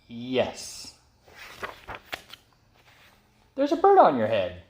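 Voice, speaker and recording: A man voicing a calm, helpful, matter-of-fact pig from a children's storybook, with a deadpan, informative manner. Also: medium pitch, measured pace, slight background noise, voice pushed down. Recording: roomy echo.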